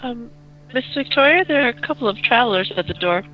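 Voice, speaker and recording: A woman's voice: fairly high pitch, animated, fast talker, highs cut off, faint music behind, close to an everyday voice. A woman playing a worried, deferential servant, hesitant and nervous in an amateur radio play.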